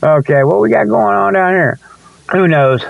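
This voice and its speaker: A man's voice: high-pitched, animated, moderate pace, creaky, no echo, narrow, tinny audio. A man hosting a talk show in a rambling, folksy, repetitive monologue, meandering from one thought to the next. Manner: folksy, repetitive, meandering, often chuckles to himself. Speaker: host of a radio-style talk show. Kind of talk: rambling folksy monologue, tongue-in-cheek sales pitches.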